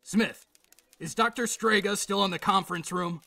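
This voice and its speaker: A man playing an exasperated, short-tempered veteran police boss in a radio drama, barking and sarcastic with a gravelly, harsh voice. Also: high-pitched, moderate pace, pushed and bright, dry recording, full character voice. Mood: curt, irritated.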